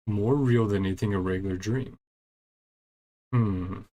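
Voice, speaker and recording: Low, creaky-voiced man streaming a game. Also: a little slow, warm tone. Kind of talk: casual live gameplay commentary.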